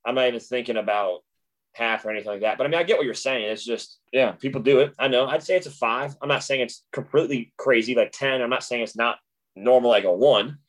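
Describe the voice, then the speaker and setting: A man in a relaxed podcast chat, talking fast in a plain mid-range voice. He rambles eagerly from one aside to the next, full of enthusiasm. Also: mid-range voice, low-quality recording. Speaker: podcast co-host. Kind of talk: casual chat.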